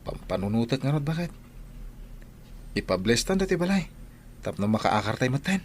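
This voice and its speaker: A man's voice: mid-range voice, big pitch swings, normal speed, a little hiss or hum, lightly acted. A man playing an earnest, humble, devoted husband in an Ilocano radio drama, speaking with an Ilocano accent. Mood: happy, respectful.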